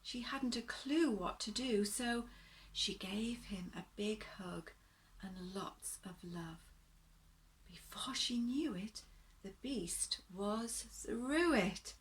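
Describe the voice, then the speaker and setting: A woman storyteller reads to children at a slow, deliberate pace. Her delivery is gentle and expressive, with asides to the listeners. There is faint noise in the recording. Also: mid-range voice. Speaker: storyteller reading a picture book to children.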